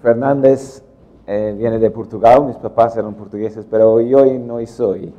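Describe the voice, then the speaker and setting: A middle-aged man with an English accent delivers a technical lecture in slow, halting Spanish, filling pauses with "em". His voice is low and mellow. The sound is dull and muffled. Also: steady tone. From England. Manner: halting Spanish with many "em" fillers. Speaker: guest speaker.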